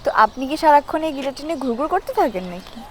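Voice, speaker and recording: Actress as a high-voiced, bold young woman. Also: expressive, rapid-fire. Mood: curious, coaxing. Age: young adult. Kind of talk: acted dialogue in a Bengali short film.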